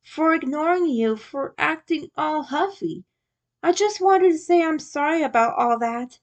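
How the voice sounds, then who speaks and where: A woman's voice: high-pitched, animated, measured pace, pitched above the performer's own voice. A woman reading the lines of a playful, teasing young friend in a fan story. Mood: apologetic, tender.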